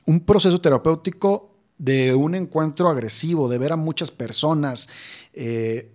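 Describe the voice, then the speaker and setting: A man's voice: fairly high pitch, moderate pace, a little mellow. A man hosting an interview, sharing personal reflections earnestly and asking probing questions. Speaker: interview host. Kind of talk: conversational interview.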